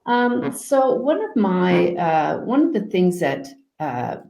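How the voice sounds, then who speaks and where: A woman coach answering interview questions in a calm, soft-spoken way, with frequent fillers and restarts. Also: fairly low pitch, takes it slowly, vocal fry, reverberant, low-quality recording. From Canada. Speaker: guest life coach.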